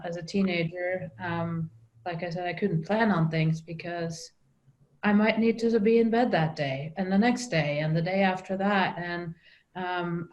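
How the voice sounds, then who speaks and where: A woman's voice: fairly low pitch, highs cut off. A middle-aged woman from Norway with a Norwegian accent, telling her story as an interview guest, with frequent ums and restarts. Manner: hesitant, many ums and restarts.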